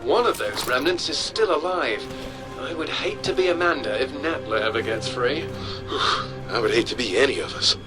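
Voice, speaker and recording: A man's voice: mid-range voice, expressive, normal speed, close to an everyday voice. Skeptical male sidekick played by an actor. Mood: uneasy, wry. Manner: casual, doubtful. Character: wry, skeptical male sidekick, down-to-earth and uneasy. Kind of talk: video game cutscene acting. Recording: game music underneath.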